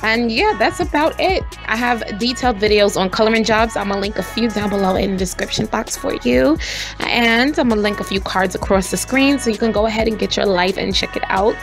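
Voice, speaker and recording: Woman vlogger, raspy, chatty, mid-range pitch, light music underneath. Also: fairly quick. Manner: chatty, addresses viewers directly. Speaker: hair vlogger. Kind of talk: casual hair review and tutorial.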